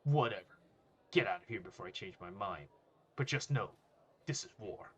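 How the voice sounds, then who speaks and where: A man voicing a boastful, threatening high school bully in a story. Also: expressive, normal speed, husky, muffled recording. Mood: threatening, smug. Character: Kaga, swaggering menacing male high school bully.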